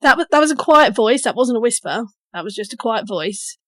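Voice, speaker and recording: A woman's voice: on the high side, expressive, brisk, a bit bright, dry recording. A woman judging a comedy game on a podcast, blunt and dry in her verdicts. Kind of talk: casual podcast banter.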